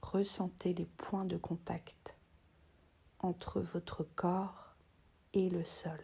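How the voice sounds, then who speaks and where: A woman with a lowish, mellow voice leads a guided relaxation. She half-whispers in short, soothing phrases with long pauses between them. Her delivery stays very even in pitch. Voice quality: soft, breathy, half-whispered. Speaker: yoga teacher.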